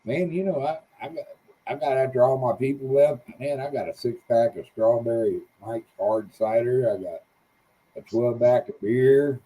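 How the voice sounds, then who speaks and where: A man's voice: mid-range voice, normal speed, warm tone, breathy edge, poor audio. A man, a little tipsy, chatting as a guest on a livestream in a rambling, folksy way. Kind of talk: casual livestream chat.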